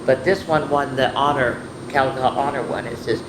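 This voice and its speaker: A woman's voice: extremely low pitch, normal speed. A woman hosting a children's story time, reading picture books aloud in a playful, chatty way with rambling asides to her viewers. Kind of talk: informal picture-book read-aloud and show-and-tell to children. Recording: echoey room with clear background noise.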